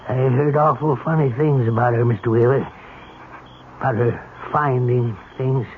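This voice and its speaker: A man's voice: mid-range voice, fairly even intonation, moderate pace, close to an everyday voice. An actor playing a nervous, simple country henchman, deferential and plain-spoken, in an old-time radio drama. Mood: fearful. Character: nervous, simple rustic cab driver turned hired killer. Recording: muffled, noisy old radio recording.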